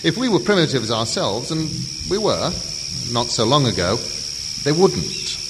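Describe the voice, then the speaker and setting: An actor playing an earnest, scholarly man who expounds ancient history in an audio drama, halting and emphatic, full of rhetorical questions. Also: mid-range voice, very animated. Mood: earnest, confiding. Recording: long reverb, loud ambient noise.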